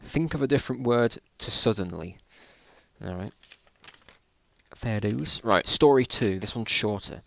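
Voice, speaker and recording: A man's voice: fairly low pitch, lively intonation, a little slow, vocal fry, pauses often, a bit bright, no echo. A British man reading stories aloud in an informal dramatic style, breaking off with amused, incredulous asides. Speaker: reader of his own old school stories.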